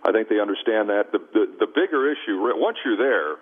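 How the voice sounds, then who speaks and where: A man's voice: on the low side, expressive, fairly quick. An American man giving casual, emphatic sports commentary as a radio guest, repeating himself to make his points, over a phone line. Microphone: phone call. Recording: tinny band-limited phone line on radio.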